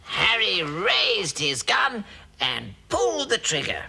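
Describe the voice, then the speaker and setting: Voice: mid-range voice, very animated, takes it slowly, gravelly, pushed and bright, slight background noise, full character voice. A performer playing a children's storyteller, telling a grim tale with exaggerated, sing-song relish. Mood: storytelling.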